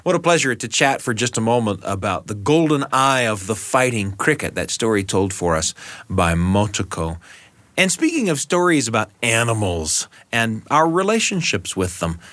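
Middle-aged American male host, lively pitch swings, deliberate storytelling phrasing, close dry studio sound. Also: no room sound. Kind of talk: scripted radio hosting and personal storytelling.

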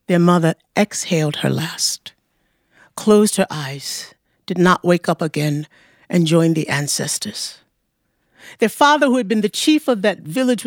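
A woman telling a folktale aloud as a storyteller, in measured, deliberate phrases. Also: medium pitch, no room sound, studio quality. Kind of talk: live oral storytelling of a folktale. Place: performance studio before an audience.

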